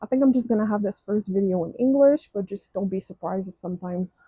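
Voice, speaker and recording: French-accented woman gushing over craft stuff, mellow husky voice, kinda dull muffled recording. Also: medium pitch, fast, dry recording. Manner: chatty, gushing, frequent restarts and "um"s. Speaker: crafting vlogger. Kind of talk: casual unboxing chat.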